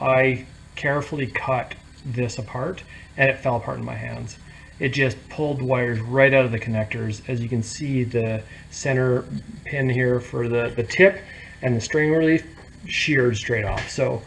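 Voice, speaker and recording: A man's voice: medium pitch, fairly even intonation, measured pace, gravelly, a little hiss or hum, low-quality recording. A man giving a casual, unscripted how-to explanation in a plain-spoken, blunt manner. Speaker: DIY tutorial presenter.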